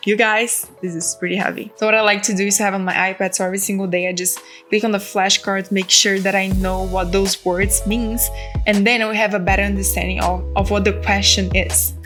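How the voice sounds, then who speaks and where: A woman's voice: mid-range voice, level tone, fairly quick, a bit bright. Young woman, Brazilian Portuguese accent, mid-range voice, encouraging vlogger tone, faint music bed. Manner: earnest, encouraging, chatty. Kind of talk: casual direct-to-camera advice.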